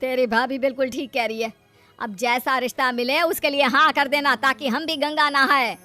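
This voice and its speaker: A nagging mother of a grown daughter, voiced by a woman, high in pitch and disapproving. She scolds and taunts in cartoon-story style over faint music. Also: steady tone, moderate pace, sharp tone. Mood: insistent. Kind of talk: Hindi cartoon story acting.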